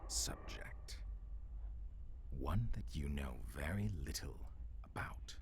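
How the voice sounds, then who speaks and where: An actor playing a menacing ancient supernatural villain in an audio roleplay, condescending and deliberate, with a gravelly, often whispery voice. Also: male voice, extremely low pitch, expressive, heavily acted. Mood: cold warning. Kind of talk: dramatic audio roleplay acting.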